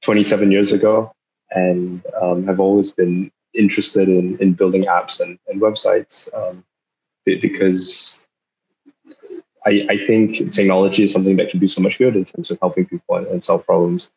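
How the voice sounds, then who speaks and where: A young man in his twenties from Singapore, a startup founder giving an online talk, speaking haltingly with many fillers and repeated words. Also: low-pitched, level tone, darkish tone, low-quality recording. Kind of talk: online talk over a video call. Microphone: video call.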